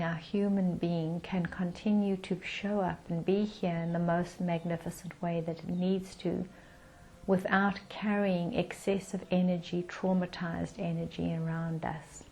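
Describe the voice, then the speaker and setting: A woman leading a guided meditation in a calm, soothing manner with gentle pauses. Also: lowish voice, low-quality recording.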